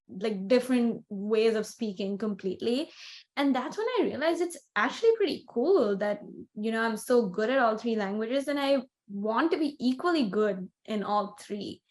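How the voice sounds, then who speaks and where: A woman's voice: on the high side, darkish tone. A young woman from India, co-hosting a casual podcast and talking reflectively with many "like"s and "you know"s. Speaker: podcast co-host. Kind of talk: casual conversational chat.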